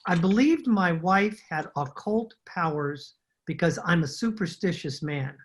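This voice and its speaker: Gullible husband for radio crime drama, played by a woman: earnest, dramatic, easily alarmed, lively swooping intonation. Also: lowish voice, measured pace, slight background noise. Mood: earnest, defensive. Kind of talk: old-time radio drama acting. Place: home recording over video call.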